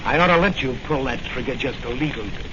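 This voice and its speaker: An actor playing a brash, fearless crime-fighting hero with an American accent, clipped and commanding in 1940s radio style. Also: male voice, mid-range voice, quick pace, close to an everyday voice. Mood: stern, confident. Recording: noisy, muffled vintage radio recording.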